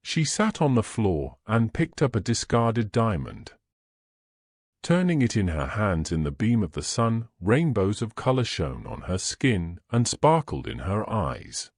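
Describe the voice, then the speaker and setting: A man's voice: fairly low pitch, big pitch swings, measured pace, no echo, clean studio sound. A man narrating scripted audio fiction in a storyteller's style.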